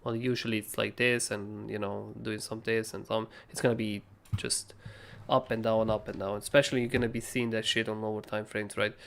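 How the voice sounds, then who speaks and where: A young man with a hoarse, raspy voice, casually talking through trading charts as a livestream host, rambling and swearing freely. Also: fairly low pitch, little pitch movement, fairly quick, hardly any pauses, fairly bright tone, slight background noise. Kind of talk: casual live chart analysis.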